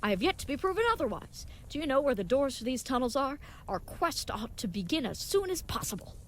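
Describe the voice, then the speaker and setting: Woman doing a brave clueless young knight type, super formal and earnest, talks fast, audio drama. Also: high voice, big pitch swings. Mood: gallant, confident. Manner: formal, contraction-free, chivalrous, grandly earnest speech. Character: earnest, brave, naive young leatherworker playing knight, cheerfully oblivious. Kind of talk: scripted audio drama acting.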